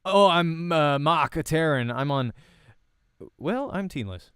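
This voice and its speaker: A man playing a nervous, awkward young amateur gamer in a visual novel, speaking in a hesitant, halting way. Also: fairly high pitch, lively intonation, fairly bright tone, clear, resonant tone, dry recording, clean studio sound. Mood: flustered.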